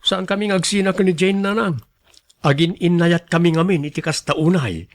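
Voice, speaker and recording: A man's voice: sits high, normal speed. A man playing an earnest, devoted young son in a radio drama, respectful and pleading with his mother. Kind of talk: Ilocano radio drama acting.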